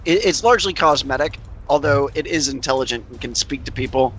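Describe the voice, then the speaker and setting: A man's voice: mid-range voice, lively intonation, a little fast, fairly bright tone, husky. A man taking part in a casual tabletop roleplaying game, speaking hesitantly and often repeating his words. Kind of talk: casual group roleplaying chat.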